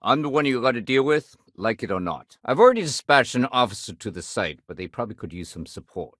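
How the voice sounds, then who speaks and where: A man playing a steady, dutiful security sergeant in a video game, speaking conversationally with official firmness and dry wit. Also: mid-range voice, fast talker, dry recording. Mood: wry, firm, then stern. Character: steady, dutiful male spaceport security sergeant, dryly wry.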